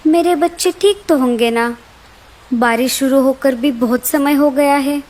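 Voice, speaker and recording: A woman's voice: sits high, measured pace, a little mellow. A woman voicing a worried but brave mother sparrow in an earnest, emotive way for a children's cartoon story.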